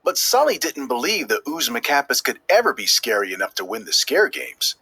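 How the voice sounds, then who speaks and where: Lively, bright-voiced man reading a children's storybook. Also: mid-range voice, a little fast, vocal fry, breathy edge, no echo. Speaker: storybook reader. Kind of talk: scripted children's read-aloud narration.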